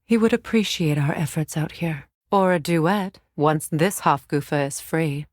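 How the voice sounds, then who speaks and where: An actress playing a solemn, regal Norse goddess warrior in a video game, speaking in a measured, grave, formal manner. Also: female voice, deep voice, no echo, clean studio sound. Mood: solemn, reflective. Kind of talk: dramatic video game voice acting.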